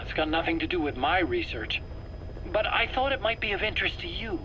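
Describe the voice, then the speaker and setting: An actor playing a nervous, eager scientist under interrogation in a video game, self-justifying in an earnest, explanatory, pleading way. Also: male voice, sits high, sing-song, a little fast, bright, forceful tone, full character voice, rough recording. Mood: secretive, insistent. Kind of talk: dramatic video game voice acting. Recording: faint background noise, cassette tape interrogation.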